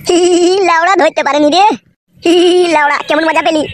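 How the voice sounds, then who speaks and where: A man voicing a cheeky, foul-mouthed young male troublemaker in a comedy cartoon, with a pitched-up voice. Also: steady tone, fast talker, noisy background. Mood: cheeky, breathless. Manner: crude, slangy, complaining.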